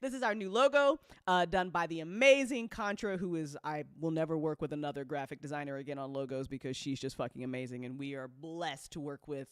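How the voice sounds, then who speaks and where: A woman hosting a casual livestream, chatting informally with viewers in a playful, teasing, sweary and animated way. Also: deep voice, fairly quick, few pauses, smooth, dry recording, clean studio sound. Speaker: host of an audio drama network's livestream.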